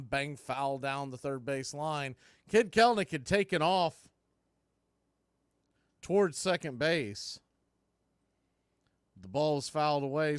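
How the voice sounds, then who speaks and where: A man's voice: on the high side, smooth, no room sound, clean studio sound. A man doing live radio-style baseball commentary as play-by-play announcer, in punchy, clipped calls that swell with the action.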